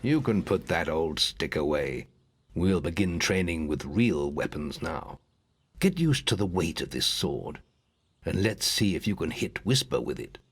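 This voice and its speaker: An actor playing an older, stern but kindly guildmaster in a fantasy video game. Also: male voice, a bass voice, sing-song, unhurried, slightly rough, full character voice. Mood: instructive.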